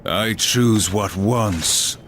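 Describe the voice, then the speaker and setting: Grim horseman warrior, low, slow, stern, cold, game score behind. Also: a bit bright, a little hiss or hum. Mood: cold resolve. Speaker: game character. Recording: game cutscene with music underneath.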